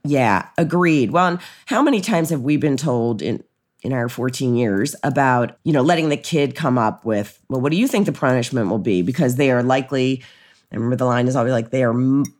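A middle-aged American woman co-hosting a podcast, telling casual anecdotes in an animated way and often acting out quotes. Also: a bass voice, fairly quick. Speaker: podcast co-host. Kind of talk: casual conversational chat, anecdotes.

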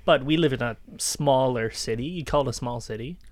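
A Canadian man co-hosts a podcast in an informal, conversational way. His voice sits at an average pitch. He tells stories loosely, restating phrases for emphasis, and the sound is dry with very little room. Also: a little fast, no room sound. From southwestern Ontario, Canada. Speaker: podcast co-host. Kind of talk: casual chat, anecdotal storytelling.

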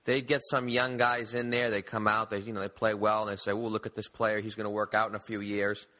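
A man's voice: lowish voice, little pitch movement, brisk, sharp tone. A man hosting a conversational sports discussion and arguing his own opinions. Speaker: sports podcast host.

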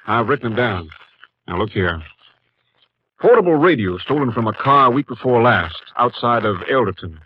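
A man playing a gruff, businesslike police captain in a 1950s radio drama, speaking tersely and weighing things sceptically. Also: mid-range voice, expressive, normal speed. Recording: muffled 1950s radio recording.

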